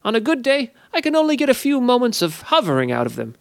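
Cheeky winged fey imp, raspy, high, sly, wheedling, storybook voice. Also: male voice, huge pitch swings, quick pace, dry recording, exaggerated voice. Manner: wheedling, falsely apologetic. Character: sly impish little male winged fey creature.

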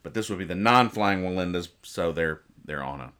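A man's voice: low voice, expressive, fairly quick, fairly bright tone. A man hosting a comedy podcast, casually reading out and explaining comics in a rambling, mock-earnest way. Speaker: podcast host.

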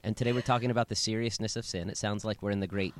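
A man's voice: lowish voice, fairly even intonation, very fast. A man in his thirties, a pastor co-hosting a casual chat podcast, who talks in a playful, teasing way with dry jokes. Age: 30s.

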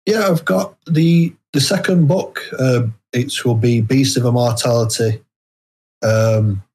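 Interview guest: British male author, slightly rough husky voice, unassuming, meandering conversational delivery. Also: medium pitch, a little mellow, low-quality recording. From United Kingdom. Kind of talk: casual chat.

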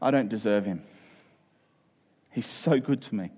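A man's voice: on the low side, a little slow, dark tone, husky, highs cut off. A man preaching a conversational, expository Bible sermon, explaining step by step, repeating key phrases and checking in with his listeners.

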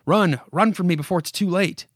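A man's voice: sits high, fairly quick, dry recording, voice pushed up. A man puts on the voice of a haunted old house from a horror comic. It speaks straight to its victim with dramatic urgency, insistent and commanding. The sound is dry, with hardly any room around it. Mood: urgent, coaxing. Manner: dramatic, commanding second-person address with repeated imperatives. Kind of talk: horror comic read aloud.

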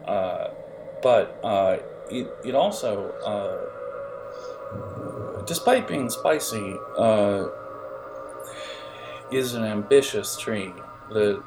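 A man improvising narration as game master in a halting, digressive manner, with music behind the voice. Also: on the low side, lively intonation, rough recording.

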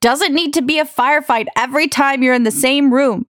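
A brisk, no-nonsense policewoman, played by a woman who gives curt, level orders. Her voice sits slightly high, and she reports facts plainly. The recording is close and dry. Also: dry recording, natural voice. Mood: firm. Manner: firm, curt. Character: firm female police officer, no-nonsense and brisk. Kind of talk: audio drama acting.

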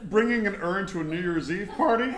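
A woman's voice: mid-range voice, animated, fairly quick, lightly acted. A woman playing an irritable, bickering spouse, dry and indignant, in a live comic play reading. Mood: sarcastic, defensive. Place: live event in a roomy space. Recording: echoey live room.

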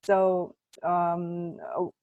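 A woman interviewing a guest in casual conversation, gentle and giving frequent encouraging murmurs. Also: on the low side, completely flat delivery, extremely slow, phone-quality sound.

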